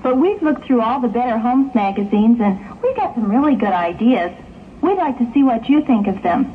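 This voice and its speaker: Thin old drama tape with faint hiss. A woman plays a house-proud young bride-to-be, sociable and eager, in a fairly high voice. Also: very animated, normal speed, narrow, tinny audio. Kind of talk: 1960s amateur audio drama acting.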